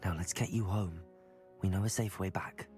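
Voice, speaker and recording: A woman playing a calm, caring young British student witch in a video game, polite and measured. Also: a bass voice. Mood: calm, sympathetic. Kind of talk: video game character dialogue. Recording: music behind the voice.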